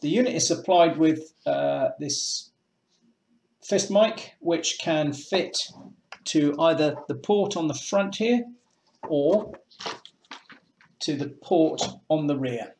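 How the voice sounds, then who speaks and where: A man's voice: on the high side, expressive, slow, long pauses, darkish tone. A middle-aged man presenting a product demonstration in a matter-of-fact, explanatory way. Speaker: presenter of a boating shop's product video. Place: small indoor room with painted block walls. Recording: echoey small room.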